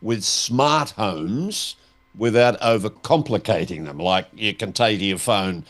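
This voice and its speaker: Co-host, male, lowish voice, plain-spoken questioner in relaxed conversational talk. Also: slow pace. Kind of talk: conversational discussion.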